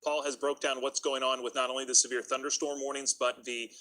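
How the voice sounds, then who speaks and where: Bright American male meteorologist, medium pitch. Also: flat delivery, brisk. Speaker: chief TV meteorologist. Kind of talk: live weather explanation.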